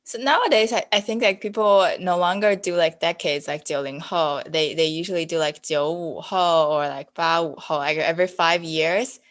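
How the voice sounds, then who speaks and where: A woman's voice: on the low side, moderate pace, hardly any pauses. A woman in her twenties chatting casually as a podcast guest, informal and self-deprecating in manner. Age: 20s. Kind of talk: casual chat.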